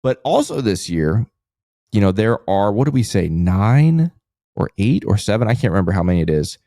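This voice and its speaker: An American man co-hosts a casual sports podcast in a low voice with plenty of creak. His tone is on the mellow side, and the recording is dry and close. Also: sing-song, normal speed, no echo.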